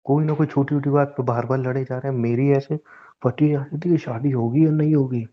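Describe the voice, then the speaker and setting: A man's voice: medium pitch, steady tone, fairly quick, close to an everyday voice. A young man playing a teasing, boastful newlywed husband in casual, bantering audio-drama dialogue. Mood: chatty, relieved. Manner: informal, bantering. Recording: dull lo-fi phone-call style audio.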